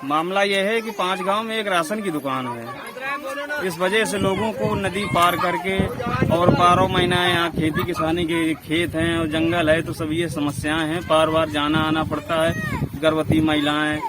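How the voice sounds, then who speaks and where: A man's voice: fairly high pitch, moderate pace. A village head, a man from rural Uttar Pradesh, answering questions in a field interview. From rural Uttar Pradesh, India. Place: outdoors in a village. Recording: outdoor field recording with crowd noise.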